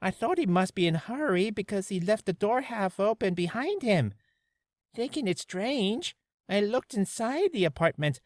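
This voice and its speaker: A man voicing an earnest, uneasy male witness who recounts in a measured, dramatic way for a game reel. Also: high voice, sing-song, no room sound, pitched above the performer's own voice. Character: earnest, shaken male witness giving testimony. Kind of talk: character voice reel, game acting.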